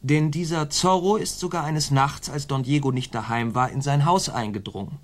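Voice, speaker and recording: A man's voice: a little high, moderate pace, lightly acted. An actor playing a scheming officer in a German radio drama, reporting to his superior in a deferential yet sly, insinuating way.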